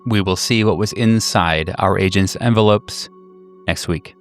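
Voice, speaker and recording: A man's voice: sits low, measured pace, faint music behind. A man hosting a tabletop roleplaying podcast, speaking casually to the audience. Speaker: podcast host and game master. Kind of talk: casual sign-off talk.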